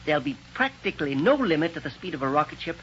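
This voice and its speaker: A genial old scientist. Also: male voice, fairly high pitch, big pitch swings, fast talker, a bit bright, slight background noise. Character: genial older male scientist inventor. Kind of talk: 1930s radio drama acting. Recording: dull old radio transcription.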